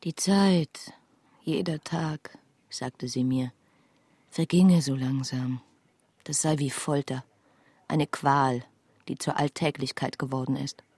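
A sombre woman narrates a radio drama. Her voice sits very low and has a husky grain. The delivery is measured and restrained, with a dry studio sound. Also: steady tone, darkish tone, no echo, full character voice.

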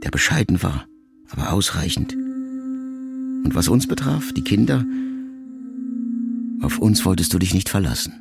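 A very low, gravelly middle-aged man speaks as a grown son to his dying mother. He is introspective and measured, in the intimate manner of a literary radio monologue. Music plays clearly beneath his words. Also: wildly swooping pitch, exaggerated voice. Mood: probing, reflective. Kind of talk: literary first-person monologue in a radio play. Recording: music under the voice.

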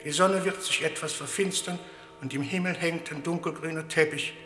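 Radio drama: dutiful scientist, coolly precise and clipped, raised register, reverberant with music behind. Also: male voice, fairly high pitch, steady tone, normal speed, pushed and bright. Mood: precise, zealous. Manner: precise, formula-reciting, clipped. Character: dutiful male colleague professor, precise, coolly zealous about destruction. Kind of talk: German radio play acting.

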